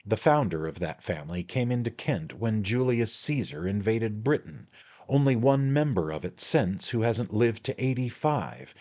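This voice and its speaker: A man doing a fussy little storybook attorney who wheedles and flatters at every turn. The voice rides high and lively, swooping up as he coaxes. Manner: ingratiating, wheedling, repeats "my dear sir".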